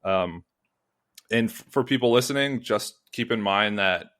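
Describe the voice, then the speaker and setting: A man's voice: on the low side, measured pace, a bit bright. A man hosting a podcast, introducing the topic and guests in a rambling way full of fillers and restarts. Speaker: podcast host. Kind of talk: podcast interview.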